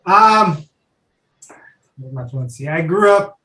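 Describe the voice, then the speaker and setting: A man whose first language is Tagalog, answering podcast questions in a relaxed, hesitant way with many "um"s. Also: fairly high pitch, takes it slowly. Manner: relaxed, thoughtful, hesitant with fillers. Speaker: podcast guest. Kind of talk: casual interview chat. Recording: roomy, echoey room sound.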